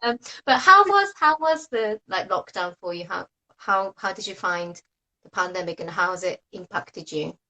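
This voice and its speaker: A woman's voice: medium pitch, lively intonation, bright, forceful tone, rough recording. A Japanese woman in her thirties, a native Japanese speaker and oboist, hosting a casual online interview in a friendly way with frequent laughter. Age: 30s. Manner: friendly, laughs often, quick to praise. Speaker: host, oboist. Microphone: video call.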